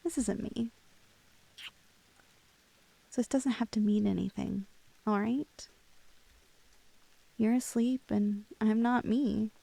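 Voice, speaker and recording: A woman's voice: a little high, steady tone, slow, gravelly, long pauses, warm tone, slightly rough, no echo, full character voice. A woman playing a lovestruck, clingy young woman in an intimate ASMR roleplay, playful and hesitant, with a teasingly unhinged streak. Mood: hushed, wistful.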